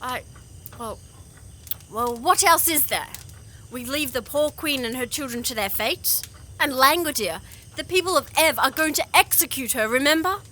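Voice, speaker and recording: A woman's voice: sits high, expressive, moderate pace, pushed and bright, slight background noise. A woman playing an idealistic, stubborn young princess who speaks earnestly and insistently in a fantasy audio drama. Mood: stubborn, reassuring. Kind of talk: full-cast fantasy audio drama acting.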